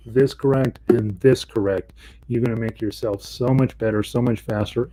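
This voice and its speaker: Male ukulele teacher, mellow low voice, chatty and meandering delivery, home-studio mic. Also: slightly rough, low-quality recording. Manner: casual, rambling, with many ums and self-corrections. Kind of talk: live online music lesson. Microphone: voice mic and separate instrument mic.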